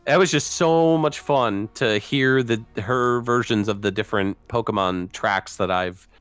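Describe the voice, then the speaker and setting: A man's voice: lowish voice, unhurried, a bit bright, clear, resonant tone. A man from the United States with an American accent, chatting casually as a podcast host. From Connecticut, United States. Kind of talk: casual chat. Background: music.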